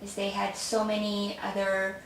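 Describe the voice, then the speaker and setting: A woman's voice: medium pitch, completely flat delivery, moderate pace, a little hiss or hum. A woman with a slight Romanian accent, Romanian her first language, telling her family story as an interview guest with frequent laughter. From born in Australia, raised in Chicago. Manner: warm storytelling, laughs often. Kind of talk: casual interview conversation. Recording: echoey room, mic at a distance.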